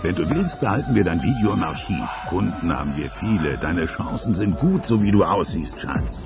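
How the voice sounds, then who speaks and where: A man's voice: low-pitched, very animated, normal speed, vocal fry, full character voice. A man playing a sleazy, commanding casting boss in a radio crime drama, curt and patronising. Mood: sleazy, bossy. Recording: loud music under the dialogue. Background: loud music.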